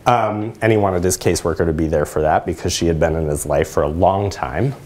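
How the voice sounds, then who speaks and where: A man in his thirties with an American accent presents research to an audience, explaining in a measured way with pauses. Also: low voice, darkish tone. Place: lecture room, indoors.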